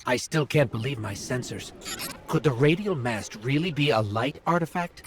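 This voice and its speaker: A man's voice: mid-range voice, lively intonation, moderate pace, noisy background, natural voice. An actor playing a small robot companion in a video game, earnest and worried as he guides a hero. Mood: focused, concerned.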